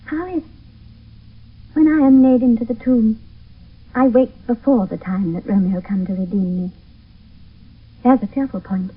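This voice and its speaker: A woman's voice: on the high side, a little slow, long pauses, husky. An actress playing a frightened young noblewoman in an intense, theatrical 1970s radio Shakespeare style. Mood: fearful. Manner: intense, theatrical verse delivery. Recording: thin phone-like old radio, distant mic.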